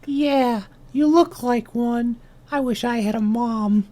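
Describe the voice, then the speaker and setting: A man voicing a tiny young runt ant, polite and naively earnest, with a thin squeaky voice in a comic story. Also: slow, mellow. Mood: wistful.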